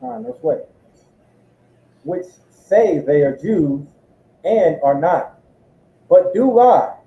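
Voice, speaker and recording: A man's voice: high-pitched, lively intonation, takes it slowly. A man assisting a preacher as scripture reader, reading verses aloud and answering him. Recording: tinny phone-like line with roomy echo.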